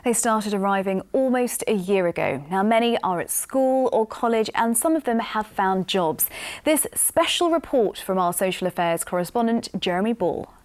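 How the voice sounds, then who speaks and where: British woman news anchor, mid-pitched voice, crisp scripted links. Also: expressive, moderate pace, a little mellow, a little hiss or hum. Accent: British English. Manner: crisp scripted link reading. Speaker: news presenter. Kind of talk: studio news presenting.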